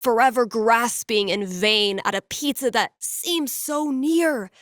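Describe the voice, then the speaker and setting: A woman's voice: on the high side, takes it slowly, fairly bright tone, no echo, clean studio sound. A woman playing a laid-back, playful young radio DJ in a video game, with wry, slangy, mock-dramatic call-in patter. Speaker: call-in radio show host.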